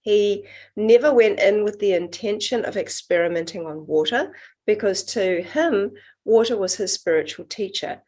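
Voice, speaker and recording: A middle-aged woman with a New Zealand accent, a guest on an interview podcast, explaining her work in an earnest, measured, reflective way. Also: medium pitch, darkish tone. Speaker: guest, water researcher.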